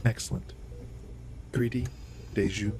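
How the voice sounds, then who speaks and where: A man's voice: on the low side, steady tone, measured pace, background noise, lightly acted. A man playing a courteous, authoritative alien doctor in an audio roleplay, speaking in a formal, explanatory way. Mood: courteous authority.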